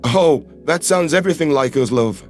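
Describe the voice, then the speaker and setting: Human astronaut envoy, male actor, slightly high voice, loyal, plain-spoken, firm; sci-fi audio drama. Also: expressive, moderate pace, soft background music, close to an everyday voice. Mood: wry. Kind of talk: science fiction audio drama acting.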